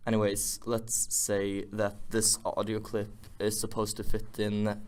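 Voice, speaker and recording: Male tutorial host, low-pitched and monotone, rambling, thinking aloud through a screen demo. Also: unhurried, smooth. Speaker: music production tutor, host. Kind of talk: unscripted software tutorial narration.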